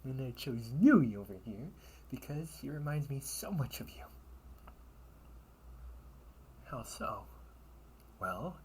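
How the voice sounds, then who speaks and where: A man's voice: mid-range voice, animated, a little mellow, slight background noise, close to an everyday voice. A man playing a sly, wealthy older brother in a sci-fi audio roleplay, with theatrical, formal, teasing delivery. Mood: fond, teasing. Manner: theatrical, formal wording with teasing chuckles and drawn-out pauses. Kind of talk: solo audio roleplay addressed to the listener.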